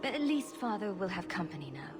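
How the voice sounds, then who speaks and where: A cheeky young female rogue, acted by a woman in a fantasy game. The voice sits at a medium pitch and is dry and wry, with loud music underneath. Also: sing-song, brisk, natural voice. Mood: dry, impressed. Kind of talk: video game dialogue acting.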